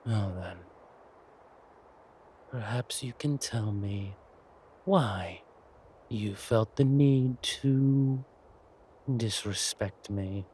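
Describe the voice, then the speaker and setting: A man playing a villainous king in an audio roleplay, silky and amorous yet quietly furious, with deliberate, mock-polite speech. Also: on the low side, slight background noise. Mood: mock polite, threatening.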